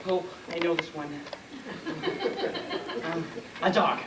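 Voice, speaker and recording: A man's voice: on the high side, steady tone, takes it slowly. A man playing an eager, dim-witted, boyish prince in an amateur stage comedy. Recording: distant, echoey, very noisy stage recording.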